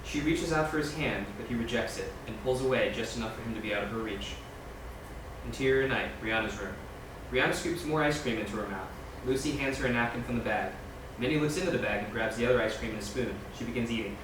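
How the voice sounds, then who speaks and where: A man's voice: mid-range voice, fast. A man reading screenplay scene directions aloud as scripted narration at a table read. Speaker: stage directions reader. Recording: very echoey room, distant mic, noisy.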